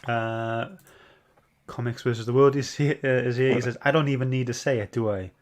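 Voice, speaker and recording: A man hosting a casual live-stream chat, speaking in a halting, hesitant way. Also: mid-range voice, fairly even intonation. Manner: hesitant, halting when summarizing. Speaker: host of a comic book club show.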